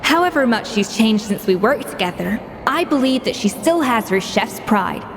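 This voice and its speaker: Casting: self-assured young female head chef for a game, higher pitched, exacting, dry wit. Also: expressive, normal speed. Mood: determined. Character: proud, exacting young female master chef, dryly sardonic. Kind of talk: English video game voice acting. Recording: music playing behind the voice.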